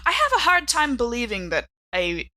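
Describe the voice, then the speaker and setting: A woman banters as a player in an actual-play game. Her voice is bright and very animated in pitch. She talks in a wry, casual, conversational way. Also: moderate pace. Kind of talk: casual in-character tabletop banter.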